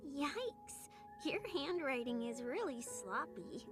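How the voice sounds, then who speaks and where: A woman's voice: high voice, very animated, takes it slowly, music in the background, heavily acted. A woman voicing a sweet, earnest and brave young orphan girl in an English-language video game. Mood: eager, cheeky.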